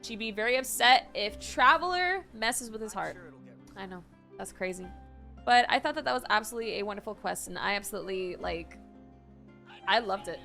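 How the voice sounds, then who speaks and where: A woman's voice: mid-range voice, animated, brisk, gravelly, bright, forceful tone, soft background music. A woman streamer giving casual, gushing reaction commentary on a game, repeating words for emphasis. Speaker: gaming streamer.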